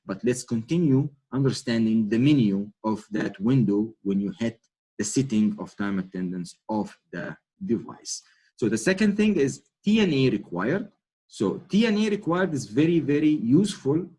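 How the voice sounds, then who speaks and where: A man from Egypt with an Egyptian Arabic accent, a native Arabic speaker, giving a webinar walkthrough of software settings, with frequent self-corrections and fillers. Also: mid-range voice, slightly rough. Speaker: product trainer.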